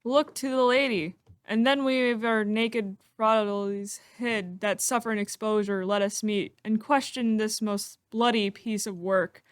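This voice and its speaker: A woman's voice: mid-range voice, steady tone, measured pace, hardly any pauses, clear, resonant tone, dry recording, studio quality, close to an everyday voice. A woman playing a solemn, steadfast and honourable general in an amateur Shakespeare reading. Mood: solemn, resolute. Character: steadfast, honourable general Banquo, grieving yet resolute.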